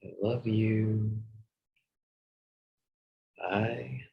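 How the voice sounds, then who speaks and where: A man leading a guided meditation in a soothing, gentle manner with long pauses. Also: fairly low pitch, steady tone, vocal fry, lo-fi sound. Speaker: meditation teacher.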